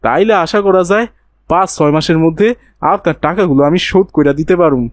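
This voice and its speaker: A man's voice: on the high side, fast, no room sound, studio quality, close to an everyday voice. A man voicing a poor, grateful shoeshiner father in a moral cartoon, humble and deferential, with a colloquial Bangladeshi accent. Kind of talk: children's moral cartoon dub.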